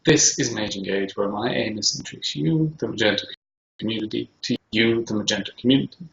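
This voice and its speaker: A man from Scotland with a British accent, talking casually and haltingly as the host of a video show, recorded in his kitchen. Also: lowish voice, gravelly, a bit bright. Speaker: host interviewing himself. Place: home kitchen. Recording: roomy, echoey, dull sound with faint noise.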